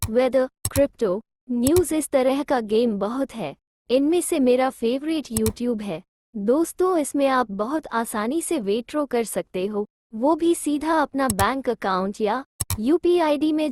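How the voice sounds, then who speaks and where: High-voiced woman doing a sponsor plug. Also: a little mellow. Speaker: promoter in sponsor segment. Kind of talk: casual scripted app promotion.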